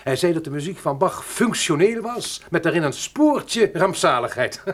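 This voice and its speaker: Husky, pompous cultured intellectual. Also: male voice, on the high side, lively intonation, measured pace. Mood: delighted, musing. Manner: pompous, affected, hesitant hums. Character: pompous, cultured, talkative male intellectual with a shifty streak. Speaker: radio drama actor. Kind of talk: radio play dialogue.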